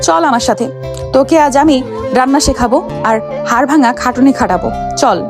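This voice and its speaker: A woman's voice: high-pitched, fairly quick, music in the background. A woman playing a haughty, hot-tempered young wife who bosses her servant husband in a romantic audio story. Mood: bossy, irritated. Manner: scolding, bossy, dramatic.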